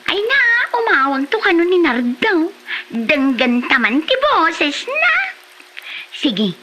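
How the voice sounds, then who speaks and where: A woman's voice: sits high, big pitch swings, slow pace, noisy background, full character voice. A woman acting a flirtatious, gossipy villager in an Ilocano comedy drama, with an Ilocano accent. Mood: curious, teasing.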